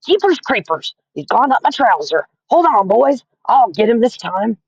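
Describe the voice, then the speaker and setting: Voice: mid-range voice, big pitch swings, moderate pace, husky, dry recording, muffled recording, pitched above the performer's own voice. A reader voicing a grown man, a kitchen cook, screaming in hysterical panic. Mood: panicked. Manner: shrieking, frantic.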